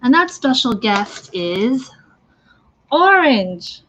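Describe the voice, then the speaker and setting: A woman's voice: on the high side, very animated, very slow, a bit bright, a little hiss or hum. A woman giving a casual live makeup tutorial, talking through each step. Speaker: mime makeup demonstrator. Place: live online stream from a socially distanced setting. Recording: dull, lo-fi livestream audio.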